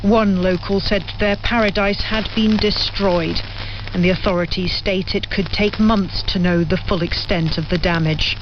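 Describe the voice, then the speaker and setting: A woman's voice: mid-range voice, fairly even intonation, normal speed. A woman news correspondent delivering a scripted report. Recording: muffled, lightly noisy shortwave radio relay.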